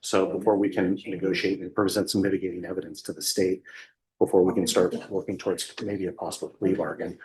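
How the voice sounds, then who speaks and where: Very deep-voiced soft-spoken man lawyer. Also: little pitch movement, fast talker, rough recording. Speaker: defense attorney. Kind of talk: courtroom address. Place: courtroom.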